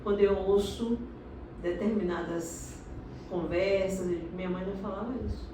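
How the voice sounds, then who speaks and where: A middle-aged woman from Salvador, telling her life story in a slow, reflective interview. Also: medium pitch, steady tone, dark tone, far from the mic. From Salvador, Bahia, Brazil. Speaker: interviewee, doctorate holder. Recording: echoey room, recorded from afar, noisy.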